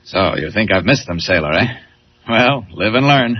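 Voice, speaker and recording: An actor playing a confident hero who thinks aloud in clipped, declamatory remarks, in 1940s radio style. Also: male voice, fairly low pitch, lively intonation, normal speed, natural voice. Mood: wry, determined. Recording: very noisy muffled old radio recording.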